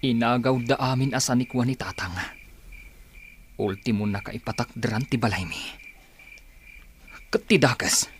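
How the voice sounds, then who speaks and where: A man's voice: mid-range voice, normal speed, pauses often, slight background noise, close to an everyday voice. An actor playing an aggrieved young man bent on revenge in an audio drama. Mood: bitter, anguished. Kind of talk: Filipino radio drama acting.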